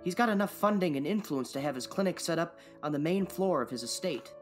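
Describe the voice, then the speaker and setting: A man as fairly high-voiced earnest agent boss. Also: lively intonation, fairly quick, soft background music, lightly acted. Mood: encouraging. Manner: straightforward, conversational. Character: earnest, encouraging male Secret Service supervisor. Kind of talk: radio play acting.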